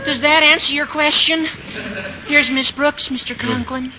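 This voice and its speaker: A man's voice: very high-pitched, fairly even intonation, measured pace. A man playing an eager, earnest teenage schoolboy in an old radio sitcom, with a bossy, gee-whiz manner. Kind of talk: 1940s-50s radio sitcom acting. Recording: muffled vintage radio, light noise.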